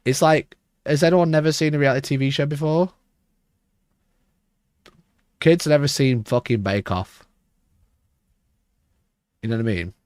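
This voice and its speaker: A man with a rough, hoarse voice, chatting casually on a livestream and rambling with frequent restarts. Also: a little high, a little slow, pauses often, a little mellow, no room sound, clean studio sound. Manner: rambling, restarts mid-sentence. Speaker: livestream host.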